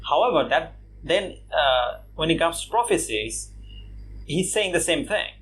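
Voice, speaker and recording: A man from Bangladesh giving casual response commentary on a video, mocking his opponent with scoffing laughs and lots of "uh" and "you know" pauses. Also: on the high side, animated, fairly bright tone, a little hiss or hum. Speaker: YouTube commentator.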